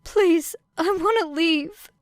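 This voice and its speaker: A woman's voice: extremely high pitch, takes it slowly, no echo, studio quality, pitched above the performer's own voice. A woman voicing a crafty girl who pretends to be a frightened, sobbing child, with a wavering, breaking voice. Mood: tearful, pleading.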